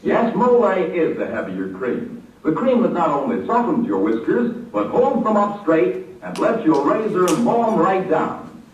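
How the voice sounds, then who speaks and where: An actor playing an enthusiastic, sing-song pitchman in an old-time radio advertisement. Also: sing-song, measured pace, a little hiss or hum. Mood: upbeat, smooth. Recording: dull, echoey, distant stage recording. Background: music.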